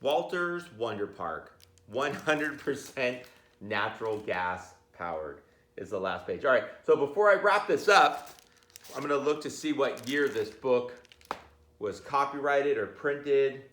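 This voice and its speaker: A man reads a picture book to children with a very lively, swinging pitch. He is informal and jokey, moving between storytelling and chatty asides. The room around him is noticeably echoey. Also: a little slow. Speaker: teacher reading a picture book aloud. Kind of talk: animated children's read-aloud with chatty asides.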